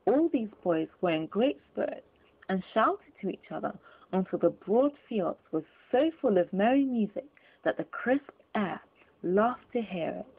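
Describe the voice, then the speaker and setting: A woman reading classic literature aloud as an audiobook narrator, in an expressive, deliberate storytelling manner. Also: mid-range voice, slightly rough, narrow, tinny audio. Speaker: audiobook reader.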